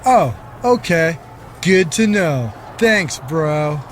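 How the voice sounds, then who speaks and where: A spacey, easygoing wrestler dude in a game. His voice is high for a man, his talk slangy and earnestly dim, with faint noise behind him. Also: expressive, drawn-out words. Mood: earnest, conspiratorial. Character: goofy, spacey, laid-back dude wrestler. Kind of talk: video game cutscene dialogue.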